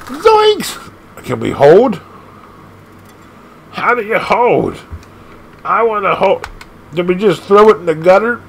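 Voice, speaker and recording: A man casually commenting on an arcade game as he plays, excitable and laughing often, leaping from squeaky highs to low growls. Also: takes it slowly, vocal fry, pauses often, slight background noise. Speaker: gaming channel host.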